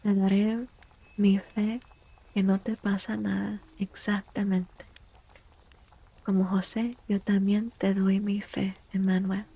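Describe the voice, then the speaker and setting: Soft, creaky-voiced woman doing ASMR. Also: mid-range voice, flat delivery, a little slow. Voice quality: soft, breathy, often dropping into a whisper. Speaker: live streamer doing tarot readings for chat.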